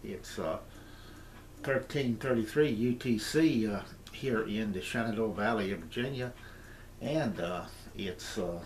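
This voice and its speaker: An American man in his sixties giving a casual, unscripted hobby demonstration in a halting, rambling, repetitive way. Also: on the low side. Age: 60s. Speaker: amateur radio hobbyist presenting his gear. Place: small indoor radio room at home. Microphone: camera mic, tripod then handheld. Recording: roomy echo, background noise, camcorder.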